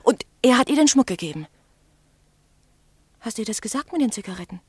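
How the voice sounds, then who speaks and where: An actress playing a practical, insistent wife with a brisk, urging manner in a German radio drama. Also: female voice, on the high side, animated, breathy edge, no echo. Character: practical, insistent wife of a snack bar owner.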